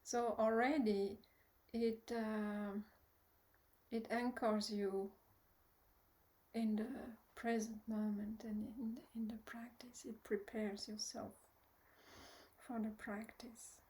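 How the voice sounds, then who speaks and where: A woman with a fairly high, mellow voice leads a guided meditation at a very slow pace. She is soft and gentle, often trailing into breathiness. She pauses long and hesitates as she gives instructions. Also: level tone, low-quality recording. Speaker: meditation teacher.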